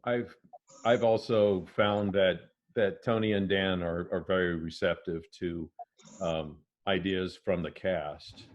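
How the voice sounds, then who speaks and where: A man speaking as a volunteer voice actor on a panel, chatting in a halting, thoughtful way over a dull lo-fi online stream. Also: low voice, fairly even intonation. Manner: halting, thoughtful, many pauses. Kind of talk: casual reflective chat.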